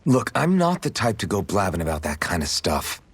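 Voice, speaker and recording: A low, husky, easygoing young swordsman. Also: sing-song, brisk, no room sound, exaggerated voice. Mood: guarded banter. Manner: casual, gently teasing. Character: easygoing, modest young male swordsman, gently teasing. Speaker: voice actor in a fantasy video game. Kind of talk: video game cutscene dialogue.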